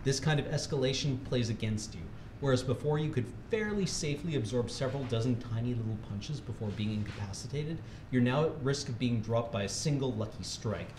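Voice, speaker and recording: A man's voice: medium pitch, lively intonation, brisk, hardly any pauses. Man reading comedy aloud, echoey room. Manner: mock-serious instructional delivery; often breaking into laughter. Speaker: reader at a charity livestream. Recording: echoey room with faint background noise.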